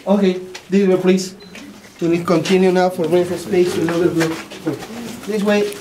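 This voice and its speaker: A man in his forties working as a tour guide, explaining in halting non-native English, recorded by a visitor's camera inside a rock cave. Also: high-pitched, level tone, noisy background. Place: inside a rock cave and on an outdoor hillside path. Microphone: tourist's camera at a few steps' distance. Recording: echoey cave recorded from a distance.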